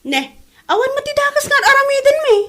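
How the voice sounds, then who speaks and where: A woman's voice: extremely high pitch, sing-song, fairly quick, pushed and bright, exaggerated voice. A woman with an Ilocano accent playing a cheeky, teasing neighbour in a comedy audio drama. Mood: chatty.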